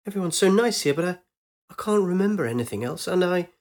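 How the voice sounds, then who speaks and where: A woman's voice: lowish voice, animated, fast talker, darkish tone, pitched above the performer's own voice. A man voicing a bewildered, ancient woman who speaks in eager, faltering bursts. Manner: faltering, uncertain questions.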